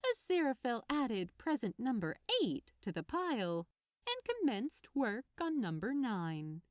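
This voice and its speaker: Slow, expressive woman narrating a story. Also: fairly high pitch, dry recording, studio quality. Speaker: narrator, fan fiction reader.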